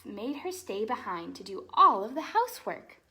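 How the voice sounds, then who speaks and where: A woman voicing a calm, instructive female ballet teacher from a children's storybook. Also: fairly high pitch, normal speed.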